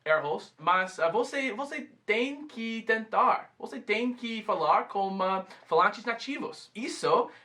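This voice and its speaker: Halting bright-voiced American man. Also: high voice, expressive. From United States. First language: English. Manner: halting, many fillers and restarts. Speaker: guest. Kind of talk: casual conversation.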